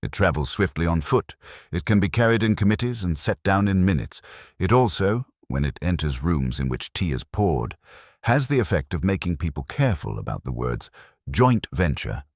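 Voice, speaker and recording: A man reading a courteous, quietly firm police inspector in an audiobook, polite, understated and formal. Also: low-pitched, sing-song, moderate pace, dry recording, studio quality, heavily acted. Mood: courteous, measured.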